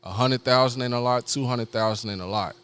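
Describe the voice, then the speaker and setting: A man from New York City, a guest on a podcast, talking in a laid-back, slangy, soft-spoken way about his art gallery business. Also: mid-range voice, a little fast, smooth. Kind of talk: casual podcast conversation.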